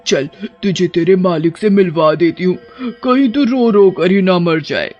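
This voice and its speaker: An evil cartoon witch, gloats over her prey. She taunts in drawn-out, menacing phrases broken by cackles, with music playing underneath. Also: fairly low pitch, lively intonation, darkish tone, lo-fi sound. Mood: taunting, menacing. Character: gloating evil witch who hunts villagers. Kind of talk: Hindi horror cartoon villain acting.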